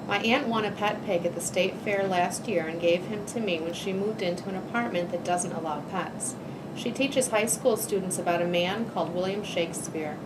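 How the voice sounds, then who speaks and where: A woman on a school's staff reading a children's story aloud. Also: moderate pace. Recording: noisy with low rumble.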